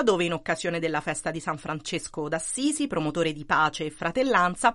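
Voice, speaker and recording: A woman's voice: lowish voice, fairly bright tone, no echo. A woman presenting a radio news magazine in a scripted style.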